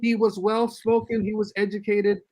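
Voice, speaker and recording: An older man, a guest church leader, giving earnest and measured advice in a casual podcast discussion over a video call. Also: high voice, flat delivery, warm tone, low-quality recording.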